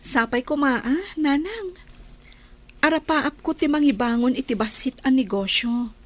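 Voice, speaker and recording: A woman's voice: sits high, unhurried. A woman with an Ilocano accent playing a hopeful, dutiful young working student in a radio drama.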